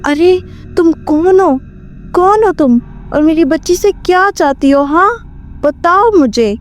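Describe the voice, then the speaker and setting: A woman voicing a frightened young mother who pleads for her child in a high, frantic way. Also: expressive, takes it slowly, faint music behind, exaggerated voice.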